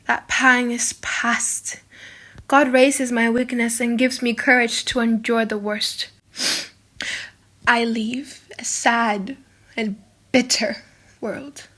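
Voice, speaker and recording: A young condemned servant woman played by a female narrator speaks in a high, sharp-edged voice. She is pious and wretched, pleading with raw anguish in the manner of a dramatic classic audiobook. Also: flat delivery, takes it slowly, long pauses. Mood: resigned, sorrowful. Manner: sobbing, pleading, breathy outbursts. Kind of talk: dramatic audiobook character acting.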